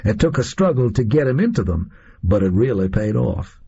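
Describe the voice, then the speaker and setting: A blunt, sardonic gunman, put on by a man reading, drawling his words in slang with a gravelly, husky edge. His voice swings freely up and down, and the sound is muffled and lo-fi. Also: fairly low pitch, very animated, normal speed, mellow, full character voice. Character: tough American male gunman, blunt and sardonic. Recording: muffled lo-fi recording.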